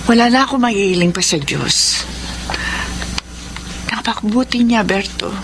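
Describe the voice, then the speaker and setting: A woman's voice: medium pitch, a little slow, background noise, close to an everyday voice. An actress playing a humble, grateful, devout poor mother in a Filipino radio drama. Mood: thankful.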